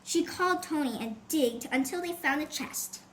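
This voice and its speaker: A woman's voice: sits high, normal speed. A woman reading a scripted children's adventure story as an audiobook narrator. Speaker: audiobook author and reader. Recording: faint background noise.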